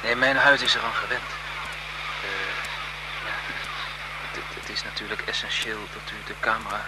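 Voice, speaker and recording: An actor playing a shy, deferential seaside photographer in a 1970s Dutch radio play, halting and courteous. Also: male voice, on the low side, steady tone, natural voice. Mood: shy, hesitant. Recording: 1970s radio drama with loud background noise.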